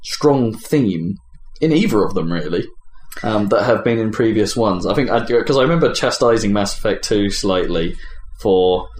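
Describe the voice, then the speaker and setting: Low-voiced man in an echoey room. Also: lively intonation, moderate pace, few pauses, darkish tone. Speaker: podcast host. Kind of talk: casual chat about video games. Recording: roomy echo, clear background noise.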